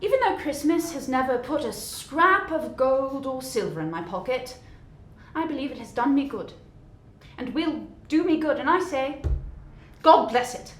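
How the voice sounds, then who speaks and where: A woman voicing a cheerful young male nephew with a put-on British accent, bright and pleading, in an echoey room. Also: steady tone, fast, hardly any pauses, natural voice. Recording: echoey room, faint rumble.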